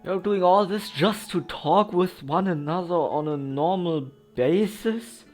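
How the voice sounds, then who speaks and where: A man's voice: sits high, a little slow, a little mellow. A man giving casual gameplay commentary as a let's player, reacting and repeating himself. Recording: game music behind the voice.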